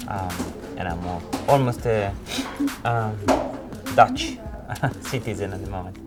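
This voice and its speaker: A man in his forties from Italy with an Italian accent, a creative director recounting his career in an informal interview in hesitant, halting phrases. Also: lowish voice, lively intonation, over loud music. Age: 40s. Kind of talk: informal interview, telling own career story.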